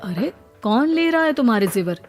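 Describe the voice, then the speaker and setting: A woman's voice: a little high, sing-song, normal speed, in a large hall, soft background music. A woman voicing a cheerful young husband in a cartoon, boasting in an upbeat way. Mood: boastful.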